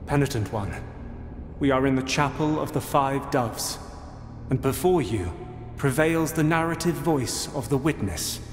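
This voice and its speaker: A man's voice: mid-range voice, expressive, close to an everyday voice. Echoing, solemn ghostly male witness played by an actor. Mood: solemn, commanding. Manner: solemn, archaic, measured delivery. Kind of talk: scripted dark fantasy game acting. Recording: long echoing reverb over music.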